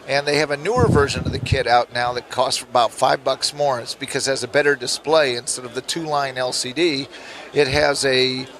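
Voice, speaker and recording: Guy with a rough gravelly throat, explaining gadgets in an interview. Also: mid-range voice, steady tone, a little fast, a bit bright, low-quality recording. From Nebraska, United States. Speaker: interview guest, electronics kit hobbyist.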